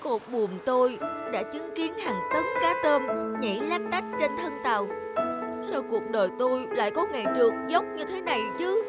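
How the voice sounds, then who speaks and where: Woman voicing a high, lamenting cartoon wooden mast. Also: expressive, normal speed. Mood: self-pitying. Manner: dramatic lamenting. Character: proud, self-pitying broken wooden ship mast. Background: background music.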